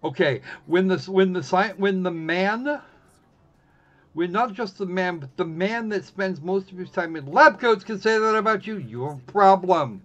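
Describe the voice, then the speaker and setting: A man's voice: high-pitched, moderate pace, fairly bright tone. A man giving casual, snarky live commentary as he plays a visual novel, exclaiming and reading bits aloud. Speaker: streamer playing a visual novel. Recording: dull lo-fi microphone sound.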